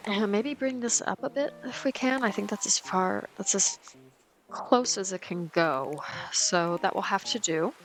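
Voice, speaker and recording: A woman's voice: mid-range voice, unhurried, poor audio. A woman casually commenting on her gameplay as host, easygoing and chatty with plenty of ums, a little sniffly as she gets over a cold. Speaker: let's play host.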